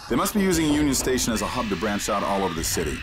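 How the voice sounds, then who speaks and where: A commanding man guiding a soldier through an alien invasion in a video game. His delivery is businesslike and technical, with a lively, wide-moving pitch. Music plays behind him. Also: rapid-fire, poor audio. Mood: alert, directing. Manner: clipped, instructive, technical, businesslike. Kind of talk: scripted video game voice acting.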